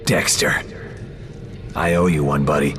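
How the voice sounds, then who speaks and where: An actor playing a gruff, cocky video game action hero who talks tough in terse wisecracks, with a gravelly voice. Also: male voice, very deep voice, steady tone, a little slow, fairly bright tone, slight background noise. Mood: confident. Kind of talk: video game cutscene acting.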